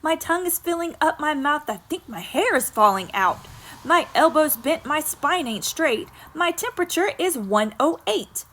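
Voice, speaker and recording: A woman's voice: high-pitched, animated, moderate pace, fairly bright tone, pitched above the performer's own voice. A woman voicing a melodramatic little girl who whines and complains with exaggerated emphasis in a children's poem. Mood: whiny, dramatic. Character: whiny, melodramatic little girl faking sick. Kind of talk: children's poem read aloud.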